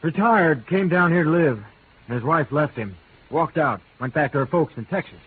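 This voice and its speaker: An actor playing a brisk, folksy real estate agent in a 1950s radio drama, talking in clipped phrases. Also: male voice, fairly high pitch, expressive, a little hiss or hum, close to an everyday voice. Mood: matter-of-fact. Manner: clipped, folksy, dropping subjects. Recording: vintage radio, narrow band, some phone lines.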